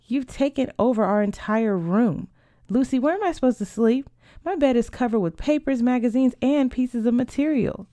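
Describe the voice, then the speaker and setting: A woman reading the part of a playful, bold teenage girl in a storybook, teasing and animated. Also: a little high, normal speed, dry recording, clean studio sound. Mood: lively, curious.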